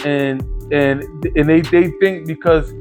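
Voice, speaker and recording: Gravelly rambling man in his forties. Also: a little high, fairly even intonation, slow, darkish tone, faint music behind. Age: 40s. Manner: rambling, profane, storytelling. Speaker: podcast host. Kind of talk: casual podcast chat.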